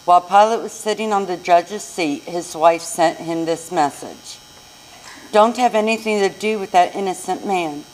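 Low-voiced American woman reading scripture, humming recording. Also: rough recording. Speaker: church reader, narrator of a Passion reading. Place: church service. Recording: live church recording with clear hum.

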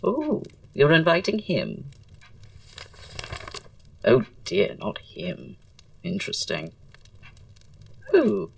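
Woman playing a very low, creaky, flamboyant Victorian playwright. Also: huge pitch swings, very slow, pauses often, far from the mic, full character voice. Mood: amused, delighted. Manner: theatrical, drawling, arch, florid wording. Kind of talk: audio drama acting.

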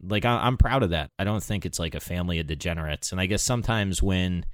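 A man's voice: deep voice, fast talker, no echo, studio quality. An American man hosting a podcast, chatting informally and candidly. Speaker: podcast host. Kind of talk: casual chat.

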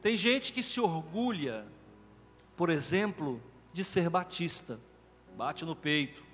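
A Brazilian pastor with a high voice that swings widely as he preaches, pressing his points with animated emphasis. His voice carries a long reverb through a large church sanctuary. Faint music hums underneath. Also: takes it slowly. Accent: Brazilian Portuguese. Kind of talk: sermon.

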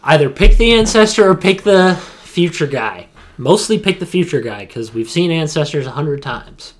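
A man's voice: on the high side, expressive, normal speed. A young adult man co-hosting a podcast, chatting casually and opinionatedly, repeating phrases emphatically for stress. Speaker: podcast co-host.

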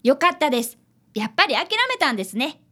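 ラジオドラマで、丁寧な口調の真面目な少年探偵見習いを演じる女性。. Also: female voice, high-pitched, expressive, fast, sharp tone. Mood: polite, eager. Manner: polite, deferential speech. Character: polite, earnest young boy, apprentice detective. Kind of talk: radio drama acting and narration.

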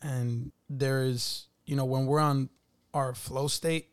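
A man's voice: medium pitch, steady tone, slow pace, clean tone. A man in a casual chat, using slang and often stopping to repeat and rework his phrases.

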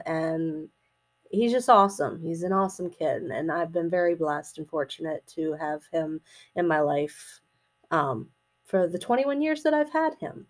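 A middle-aged woman with a slightly raspy voice hosts a personal podcast, speaking casually in a hesitant, reflective, halting way. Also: deep voice, darkish tone. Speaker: podcast host.

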